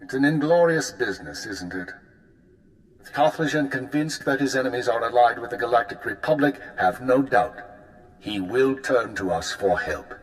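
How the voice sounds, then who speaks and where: A man voicing a formal, measured spy chief. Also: medium pitch, lively intonation, close to an everyday voice, rough recording. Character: composed male intelligence chief.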